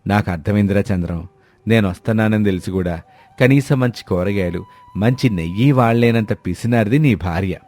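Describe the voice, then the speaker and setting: A man's voice: fairly low pitch, fairly quick, darkish tone, lightly acted. A man playing an older village landlord, blunt and indignant, scolding emphatically in a dramatised moral story.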